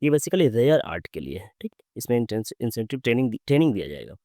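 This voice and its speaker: A young man from Bihar, teaching exam quiz questions in with a sore, hoarse throat, reading English questions slowly and explaining with many fillers. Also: mid-range voice, big pitch swings, warm tone, dry recording, clean studio sound.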